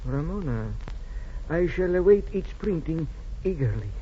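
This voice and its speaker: An actor playing an aging, courteous Californio rancho owner in 1940s radio drama, with measured, formal phrasing. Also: male voice, a little high, big pitch swings. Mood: gracious, attentive. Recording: muffled noisy old radio recording.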